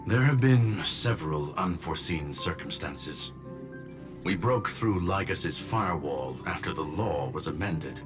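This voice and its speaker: Deep-voiced courteous gentleman robot. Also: animated, unhurried. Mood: composed, courteous. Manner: formal, precise, announces his reasoning. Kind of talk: anime game voice acting. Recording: music behind the voice.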